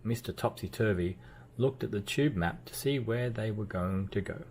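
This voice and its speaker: A man reading a children's storybook aloud as narrator, with expressive delivery and playful emphasis. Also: on the low side, moderate pace, a little hiss or hum. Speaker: children's book reader.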